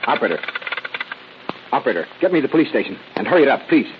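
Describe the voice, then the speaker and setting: An actor playing an urgent, suspicious car dealership manager making a phone call in an old radio drama. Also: male voice, medium pitch, big pitch swings, faint music behind, natural voice. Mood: urgent, wary. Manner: urgent, brisk. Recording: dull old radio recording.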